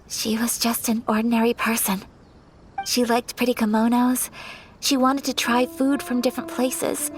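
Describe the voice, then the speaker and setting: An actress voicing a graceful young noblewoman in a game, soft and measured, quietly wistful. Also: a little high, slight background noise, lightly acted. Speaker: noble daughter of a clan. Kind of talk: game voice acting.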